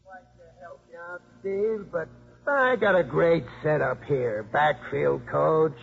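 An actor playing a contented, skeptical college coach in a 1950s radio drama, slangy and dry. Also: male voice, a little high, unhurried, gravelly, soft background music. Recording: tinny 1950s radio broadcast.

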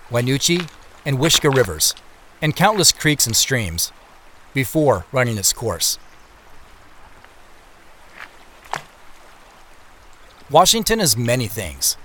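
Casting: reflective male storyteller for a first-person audio drama, measured yet expressive, medium pitch. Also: a little hiss or hum. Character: reflective man narrating his secretive search. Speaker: narrator and lead character.

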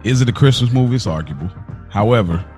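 A man co-hosting a podcast in casual, slangy, informal talk, telling stories in an animated way. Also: on the low side, fast talker, soft background music. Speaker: podcast co-host. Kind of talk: casual chat.

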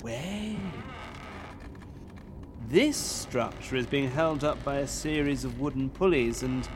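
A man playing a pompous, excitable professor and explorer in an audio drama. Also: mid-range voice, slow pace, background noise, natural voice. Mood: awed. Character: pompous, zealous male professor-explorer of lost civilizations.

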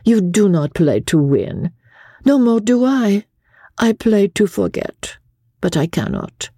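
Woman putting on a coaxing, mellow foreign lady gambler. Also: medium pitch, sing-song, slow pace, dry recording, clean studio sound, voice pushed up. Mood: sad, reproachful. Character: small masked foreign lady gambler, wistful, coaxing, sly.

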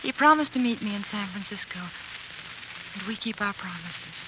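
An actress playing a devoted, resolute wife of an explorer in a 1940s radio drama, with earnest, refined delivery. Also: female voice, mid-range voice, lively intonation, normal speed, background noise, lightly acted. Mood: quietly resolute. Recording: vintage 1940s radio broadcast, music underneath.

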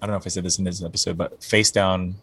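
A man's voice: deep voice, level tone, rapid-fire, a bit bright, slightly rough. A man in his forties, a band singer, talking casually in an interview. He rambles and often restarts his sentences, with plenty of fillers. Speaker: guest musician, band singer.

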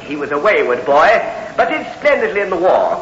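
An actor playing a fussy, earnest village vicar in a radio drama, speaking formally and hesitantly. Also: male voice, fairly high pitch, very animated, a little fast, crowd noise. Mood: proud, declamatory. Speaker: clergyman character. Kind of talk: 1960s radio drama acting. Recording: muffled, hissy old radio recording.

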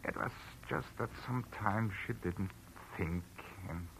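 An actor playing a grieving, kindly older rural man who speaks haltingly, in 1940s radio drama style. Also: on the low side, vocal fry, fairly bright tone. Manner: halting, hesitant. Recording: noisy old radio recording.

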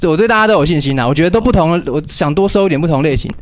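A man with a Taiwanese Mandarin accent chatting casually as a host, in an animated, easygoing manner. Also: fairly high pitch, rapid-fire. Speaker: radio host and zine editor. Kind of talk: casual chat.

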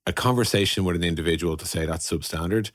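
A man's voice: sits low, fast talker, no room sound, clean studio sound. A man co-hosting a podcast conversation, putting probing questions in a blunt, wry, casual way.

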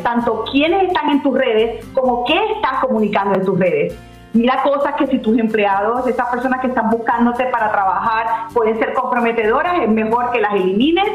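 A woman with a fairly high voice explains practical advice as an interview guest. She sounds instructive, like an adviser on live television. The audio is roomy and somewhat dull.

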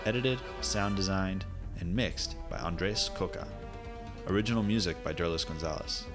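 A man's voice: low-pitched, normal speed. A man working as an announcer, reading scripted production credits for an audio fiction podcast. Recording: music bed behind voice.

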